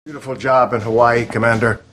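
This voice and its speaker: An actor playing a composed, resolute middle-aged American president in a game cutscene. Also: male voice, mid-range voice, little pitch movement, a little fast, a bit bright, a little hiss or hum, natural voice. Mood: grave.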